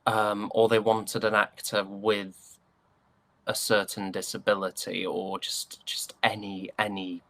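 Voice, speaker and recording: Guy on the lower side, being interviewed, casual, thoughtful and kinda hesitant. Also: little pitch movement, unhurried, a bit bright. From United Kingdom. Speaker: actor, interview guest.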